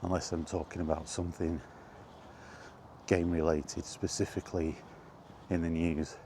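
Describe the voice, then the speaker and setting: A middle-aged man giving a casual, rambling chat as he walks outdoors, in an unhurried, offhand manner. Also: low-pitched, level tone, dark tone. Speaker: video game vlogger. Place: outdoors, walking toward a park. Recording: outdoor walking recording with background noise.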